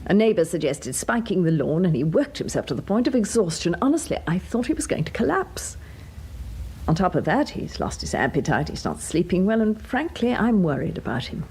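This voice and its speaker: An actress in a radio drama playing an anxious, well-mannered British wife who speaks in a confiding way. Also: female voice, lowish voice, sing-song, fairly quick, darkish tone, breathy edge, exaggerated voice, rough recording. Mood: worried, confiding. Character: anxious, polite middle-class wife fretting over her husband.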